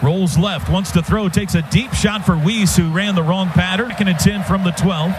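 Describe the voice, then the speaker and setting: An American man doing live football play-by-play on the radio in clipped phrases that build to excited shouts. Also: sits high, moderate pace. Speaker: play-by-play announcer. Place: stadium radio booth. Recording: very noisy outdoor stadium broadcast. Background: loud stadium ambience.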